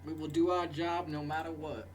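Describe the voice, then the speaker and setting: A man puts on a male president from a political game, pitched up and declamatory. He is curt and evasive, halting as he speaks over clear music. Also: little pitch movement, close to an everyday voice.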